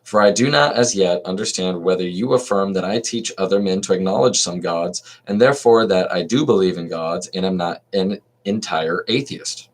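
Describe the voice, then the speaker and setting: A man reading aloud as an old male philosopher defending himself at trial, in an even reading voice with small restarts. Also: low voice, normal speed, hardly any pauses. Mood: questioning.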